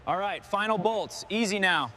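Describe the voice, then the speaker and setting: A man playing a steady crewmate on a machinery job, giving clipped, practical calls and confirmations. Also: high-pitched, moderate pace. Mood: steady, businesslike. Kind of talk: scripted workplace dialogue, short crew calls. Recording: loud machinery and vehicle noise behind.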